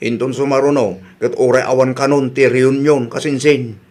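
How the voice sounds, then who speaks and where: A man's voice: medium pitch, steady tone, moderate pace, darkish tone, lightly acted. A man playing a sympathetic grown son in a family radio drama, conversational, with an Ilocano accent. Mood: disapproving.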